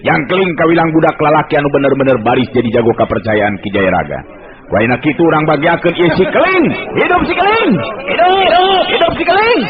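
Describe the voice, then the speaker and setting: A male storyteller voicing an excited contest announcer who proclaims the winner at the top of his voice. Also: on the high side, quick pace, music in the background, crowd noise, pitched above the performer's own voice, lightly acted. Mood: exultant. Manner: shouting, proclaiming. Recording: lo-fi old radio recording.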